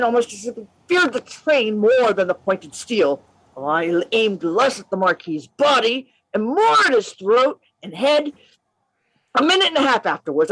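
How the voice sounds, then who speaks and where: A woman playing a bold gentleman adventurer in a radio play, narrating his tale in an emphatic, theatrical way. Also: on the high side, poor audio. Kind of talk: radio play reading, first-person narration. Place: remote reading over a video call.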